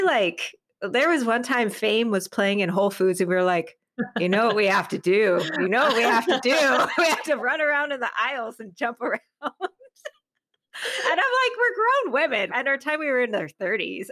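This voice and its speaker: A middle-aged woman talking casually as an interview guest, telling stories in an animated, effusive way and acting out bits of dialogue. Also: on the high side, no echo. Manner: animated, effusive, re-enacts dialogue, laughs often. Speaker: podcast guest, author and creative.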